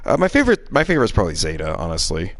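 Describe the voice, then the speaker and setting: A man with a lowish voice chats casually as he streams a game. His pitch swings around a lot, and his commentary is sardonic, with exasperated asides. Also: huge pitch swings, very fast, a bit bright, a little hiss or hum. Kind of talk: casual live gaming commentary.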